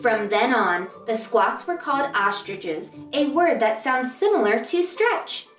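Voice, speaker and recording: Lady doing kids story time, kinda high voice, expressive reading, echoey room, bit of music behind. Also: a little slow. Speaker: host of a children's read-aloud show. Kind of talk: storybook read-aloud for children. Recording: roomy echo, distant mic, music behind.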